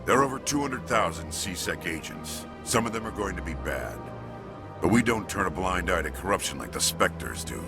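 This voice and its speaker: An extremely low, creaky voice for a proud alien police chief in a video game. He speaks curtly and with stern authority, openly disapproving. Music plays clearly behind him. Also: lively intonation, moderate pace. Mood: stern, indignant. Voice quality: gravelly. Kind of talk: video game dialogue acting.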